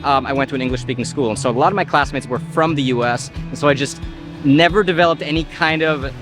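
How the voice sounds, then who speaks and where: A man's voice: medium pitch, fast, music in the background. A young man from Romania with an American accent, chatting casually about food in an interview. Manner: relaxed, rambling with fillers. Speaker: food video creator.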